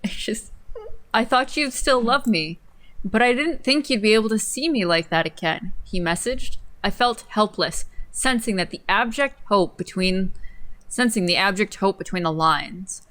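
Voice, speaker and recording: A young adult woman co-hosting a livestream, reading an article dramatically with incredulous, slightly halting delivery and casual asides. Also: mid-range voice, fairly bright tone. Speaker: co-host of a livestream.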